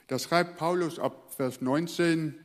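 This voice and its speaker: A male pastor from the Netherlands, a native Dutch speaker, preaching earnestly and letting his phrase endings fall quiet. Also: a little high, takes it slowly, long reverb tail. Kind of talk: sermon.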